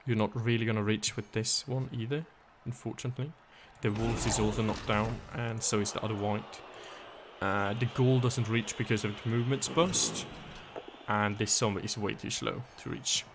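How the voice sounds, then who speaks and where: Hoarse, gravelly male game caster. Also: fairly low pitch, fairly even intonation, moderate pace, background noise. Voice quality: raspy. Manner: analytical, thinking aloud, thanks viewers. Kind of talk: live game commentary.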